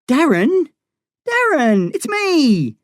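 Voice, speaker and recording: A man's voice: fairly high pitch, lively intonation, moderate pace, no echo, clean studio sound, pitched above the performer's own voice, close to an everyday voice. A man voicing an anxious young man in an audiobook, urgent and pleading.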